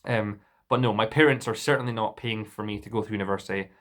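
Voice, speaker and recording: A man's voice: fairly low pitch, steady tone, quick pace, a bit bright. Young Scottish man ranting defensively. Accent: Dundee Scottish, mild. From Scotland. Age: young adult. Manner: indignant and defensive, with frequent restarts. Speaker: vlogger. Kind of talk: casual monologue to camera.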